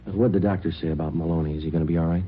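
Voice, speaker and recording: An actor playing a terse, matter-of-fact police sergeant who also narrates, in the clipped style of 1950s radio drama. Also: male voice, low-pitched, steady tone, racing, breathy edge. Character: terse, businesslike male police sergeant, a detective. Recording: dull vintage radio recording with music.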